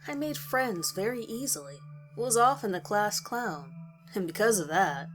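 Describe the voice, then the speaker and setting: A woman's voice: mid-range voice, expressive, moderate pace. Woman narrating a horror story over music. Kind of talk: scripted first-person story narration. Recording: music under the voice. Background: background music.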